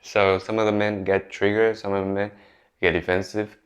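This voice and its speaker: A man's voice: lowish voice, flat delivery, moderate pace, highs cut off. A young man with a Japanese accent, talking casually to his channel's viewers with plenty of fillers and restarts. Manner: conversational, many fillers and restarts. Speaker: YouTuber. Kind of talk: casual talk to camera.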